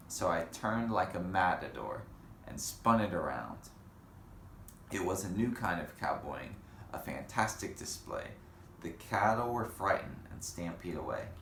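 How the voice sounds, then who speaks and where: A man reading a children's picture book aloud in an unhurried way, pausing for casual comments and questions. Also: deep voice, fairly bright tone. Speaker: storybook reader. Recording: roomy echo with faint background noise.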